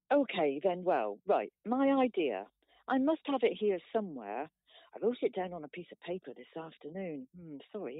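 A woman's voice: lowish voice, no room sound. A woman playing a frazzled, eager mother with a flustered, rambling manner in an amateur radio play. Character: Helen, frazzled, eager mother at community meeting. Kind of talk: amateur radio play, video-call meeting. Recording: thin, dry phone line.

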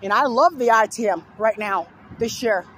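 A hoarse young man with a sore throat rambling through repeated simple lists in a casual vlog, filmed outdoors beside a road on a phone held at arm's length. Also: sits high, moderate pace, a bit bright. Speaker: vlogger. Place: outdoors on a fenced overpass beside a busy road. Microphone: phone held low at arm's length. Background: faint passing traffic.

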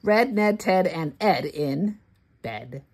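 A woman reads a children's rhyming book aloud as a read-along host. Her voice is a little low and slightly husky, and she places each word deliberately for early readers, with lively swoops in pitch.

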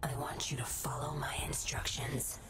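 A woman's voice: sits low, level tone, normal speed, fairly bright tone, slightly rough, whispered, heavily acted, low-quality recording. An actress playing a cunning young woman double agent, teasing and coolly commanding. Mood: whispered.

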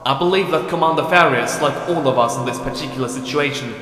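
An actor playing a reserved first officer, speaking in a measured, formal way in a science fiction audio drama. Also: male voice, mid-range voice, fairly bright tone, natural voice. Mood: solemn, reluctant. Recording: very echoey large hall.

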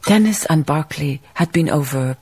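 An actress in a radio drama playing a dignified, thoughtful baroness, telling her tale in measured literary phrases. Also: low voice, expressive, a little mellow, breathy edge, full character voice. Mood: lyrical, solemn. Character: reflective, dignified baroness, storytelling colonial farm owner. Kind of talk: radio drama acting and narration.

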